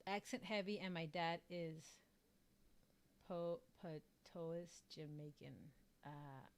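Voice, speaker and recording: A woman's voice: lowish voice, steady tone, slow. A woman from South Texas with a Mexican-American Texan accent, rambling in casual chat as a streamer, filling her talk with "like" and "um". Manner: rambling, leans heavily on "like" and "um". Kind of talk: casual chat reading viewer comments.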